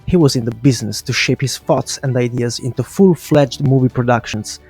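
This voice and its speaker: A man's voice: mid-range voice, fairly quick, a little mellow, husky, rough recording. A man narrating a scripted video essay in a brash, opinionated way, swearing casually and addressing viewers directly. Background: music.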